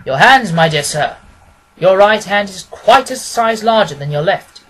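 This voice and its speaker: A woman voicing a confident, quick-witted gentleman detective from a storybook, precise and amused. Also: mid-range voice, expressive, pitched above the performer's own voice. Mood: assured. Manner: precise, expository, assured.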